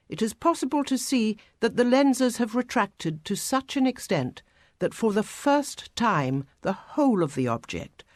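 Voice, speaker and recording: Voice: medium pitch, no room sound, studio quality. A narrator reading a scripted satirical story.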